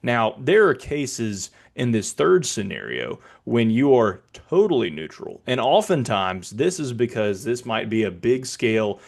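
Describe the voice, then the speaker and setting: An American man who works as a public address announcer, giving an instructive talk in a measured, deliberate way. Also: mid-range voice, creaky. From Birmingham, Alabama, United States. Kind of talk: explanatory talk with announcing demonstrations.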